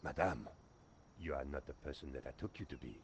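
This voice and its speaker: Pompous, excitable Frenchman, swooping pitch. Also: a little fast, a little hiss or hum. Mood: grandiose. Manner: pompous, theatrical. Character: two excitable Frenchmen: café waiter and bumbling police sergeant. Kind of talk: adventure game voice acting.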